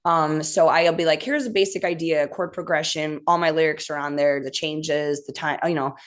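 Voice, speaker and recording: A woman's voice: low-pitched, steady tone, moderate pace. A woman with a Midwestern accent, a band member chatting as an interview guest in a laid-back, joking, self-deprecating way. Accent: Midwestern American. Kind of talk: casual interview chat.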